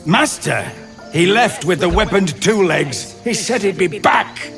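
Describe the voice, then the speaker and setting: Forlorn, slow-spoken loyal animal. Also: male voice, sits high, animated, fairly bright tone, soft background music. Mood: bereft. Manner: simple, mournful speech. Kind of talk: video game creature acting.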